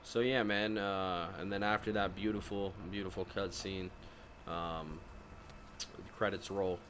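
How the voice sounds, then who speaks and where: A man with a deep voice gives casual walkthrough commentary as a gaming host. His delivery is laid-back and halting. Game ambience is clearly audible behind him. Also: fairly even intonation, fairly bright tone. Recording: voice-over with noisy game ambience.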